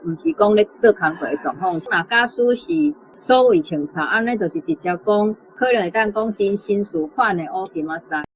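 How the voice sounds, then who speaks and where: A woman's voice: mid-range voice, lively intonation, fairly quick, few pauses, low-quality recording. Taiwanese-accented woman teaching over video call. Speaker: language teacher giving feedback. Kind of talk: conversational explanation. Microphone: remote video call.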